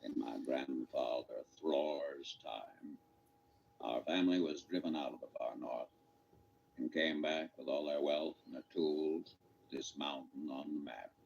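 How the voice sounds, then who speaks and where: Dwarf chief, deep and stately, self-important radio drama delivery, muffled sound. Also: male voice, fairly even intonation, measured pace, vocal fry, darkish tone, slight background noise, exaggerated voice. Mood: proud, reminiscing. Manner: grave, declamatory, pompous. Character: proud, grave, self-important dwarf leader. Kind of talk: British radio drama acting. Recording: dull, muffled radio play recording.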